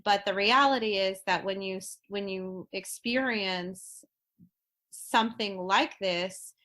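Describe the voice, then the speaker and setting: A woman in her late thirties, a podcast guest speaking in a halting, heartfelt way with many restarts and fillers. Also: medium pitch, fairly bright tone. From Florida. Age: 30s. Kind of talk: casual podcast conversation.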